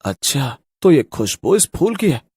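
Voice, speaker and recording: An actor playing a naive, lovestruck young man with a husky voice and an earnest, wondering way of talking in a horror story. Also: fairly high pitch, very animated, a little slow, mellow, no room sound, heavily acted. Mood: puzzled.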